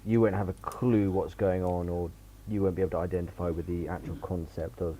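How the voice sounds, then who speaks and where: A man's voice: sits low, flat delivery, fairly quick, warm tone, slight background noise. A man author on a livestream, chatting casually with dry, joking asides. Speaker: author guest.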